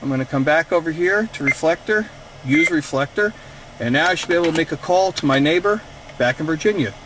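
A man's voice: a little high, a little fast. A man hosting an instructional radio gadget demonstration, speaking from inside a vehicle. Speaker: radio hobbyist video host. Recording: steady vehicle and fan noise.

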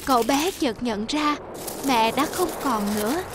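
A woman reading scripted fairy-tale narration for an animated story. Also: high voice, measured pace, slight background noise. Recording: loud music behind the voice. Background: loud background music.

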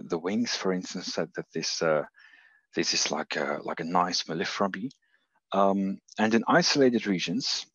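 A man with a Belgian accent gives a research talk over a video call. His voice is very low, and he pauses often with fillers and restarts. The sound is muffled and dry. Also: breathy edge, dry recording. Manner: hesitant, many fillers and restarts. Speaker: researcher presenting.

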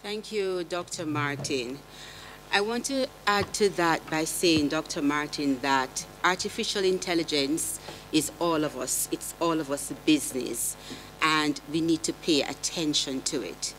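A woman's voice: low-pitched, a little slow, fairly bright tone, slight background noise. A middle-aged woman, giving an earnest prepared talk on a panel, posing rhetorical questions into a table mic in a conference hall. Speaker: panelist, education lecturer. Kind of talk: prepared academic presentation. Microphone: table gooseneck mic.

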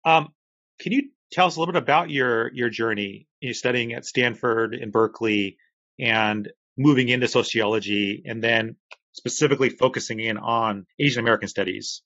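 A man's voice: medium pitch, normal speed, a bit bright, dry recording. A man in his forties hosting a podcast, asking enthusiastic, chatty questions over a video call from home. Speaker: podcast host. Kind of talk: interview questions. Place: home room. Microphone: earphone headset on video call. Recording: dry, dull video call audio.

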